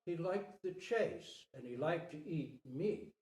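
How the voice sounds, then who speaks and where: A man voicing a patient, gentle mother deer explaining things to her child in a storybook reading. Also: on the high side, lively intonation, slow pace. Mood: grave. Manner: explanatory, reassuring. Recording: roomy, distant microphone.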